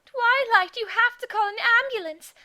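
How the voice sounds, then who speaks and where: Woman doing very high, bright cartoon pony. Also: moderate pace. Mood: melodramatic. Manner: theatrical. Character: dramatic, bossy young female cartoon ponies. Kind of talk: cartoon parody voice acting.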